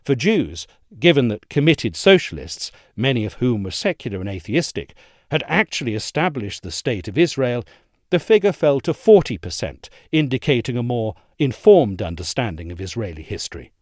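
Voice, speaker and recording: A man reading a scripted political essay in a measured, formal manner. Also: medium pitch, very animated, no echo, studio quality. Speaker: reader of an audio essay.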